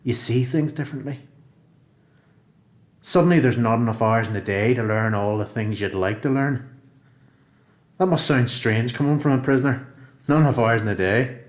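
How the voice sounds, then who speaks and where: A man's voice: mid-range voice, moderate pace, long pauses, reverberant, close to an everyday voice. A man with a Northern Irish accent playing an earnest, reflective prisoner in a radio play, speaking plainly as if in a letter. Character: earnest, reflective male prisoner who found education.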